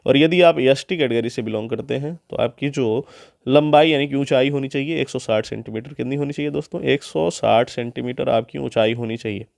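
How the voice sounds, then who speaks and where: A man gives an instructional explainer in a mid-pitched voice. He addresses viewers directly and repeats key details in other words. The sound is dry, with little room on it. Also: fairly quick, gravelly, a little mellow, no echo. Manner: repeats and restates key points, often in Hindi and English. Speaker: presenter of an exam guidance channel.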